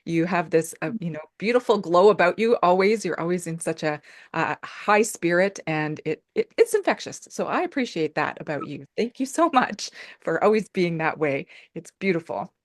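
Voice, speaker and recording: Woman host, effusive, very lively pitch, laughs a lot, dry close sound. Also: moderate pace, dry recording. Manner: effusive, laughs often, many fillers. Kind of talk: casual interview chat.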